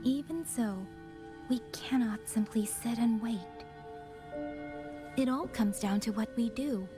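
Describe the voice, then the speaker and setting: An actress playing a polite, earnest young woman adventurer in a fantasy game, soft-spoken and thoughtful. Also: on the high side, slow pace, music in the background. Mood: resolute. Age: young adult. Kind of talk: scripted fantasy game dialogue.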